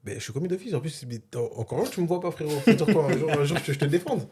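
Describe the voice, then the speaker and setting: A man's voice: on the high side, sing-song, rapid-fire, a crowd in the background. A young man from Philadelphia raised in France, joking and boasting with lots of slang in a casual chat among friends. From born in Philadelphia, raised in France. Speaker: podcast host.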